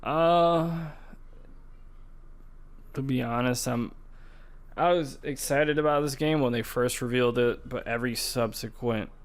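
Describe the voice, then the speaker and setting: A man co-hosting a gaming podcast, chatting casually in a measured, skeptical way. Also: mid-range voice, smooth. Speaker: podcast co-host.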